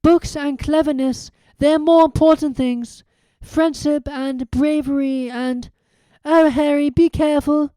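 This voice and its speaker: A woman voicing an eager, bossy, clever schoolgirl witch in a storybook reading, brisk and excited. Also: high voice, no room sound, pitched above the performer's own voice. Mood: anxious. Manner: brisk, excited, know-it-all.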